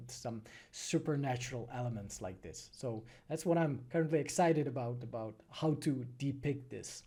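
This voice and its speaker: A man from the Czech Republic with a Czech accent, answering viewer questions casually on a livestream, phrasing things thoughtfully with frequent pauses. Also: mid-range voice, dark tone, breathy edge. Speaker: animated film creator and streamer.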